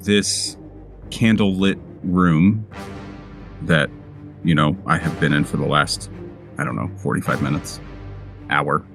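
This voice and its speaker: A man improvising first-person narration as a player in a tabletop game, halting and deliberate with dramatic pauses. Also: a bass voice, steady tone, vocal fry, a bit bright, soft background music.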